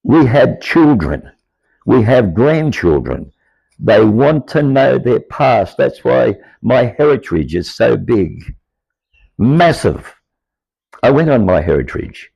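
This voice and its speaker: An older Australian man with a croaky, aged voice, telling his life story to camera in an earnest, rambling way. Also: medium pitch, very animated, takes it slowly, no echo, highs cut off. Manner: earnest, rambling, grows insistent. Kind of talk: personal monologue to camera.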